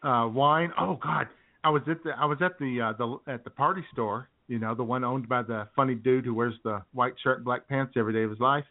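A man's voice: mid-range voice, fairly even intonation, fairly quick. A man in his forties co-hosting a casual radio chat, joking and often circling back on his words, with narrow phone-like sound. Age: 40s. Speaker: radio show co-host.

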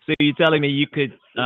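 A man's voice: medium pitch, steady tone, moderate pace, clear, resonant tone, no room sound. A man hosting a talk show, friendly and chatty, laughing easily and repeating his words. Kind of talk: casual interview hosting. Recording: dry, phone-like narrow sound.

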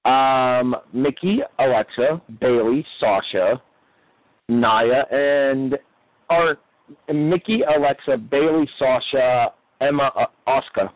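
Slightly rough-voiced man on dull podcast audio. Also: medium pitch, slow pace, no room sound. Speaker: wrestling podcast co-host. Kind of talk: casual sports review chat.

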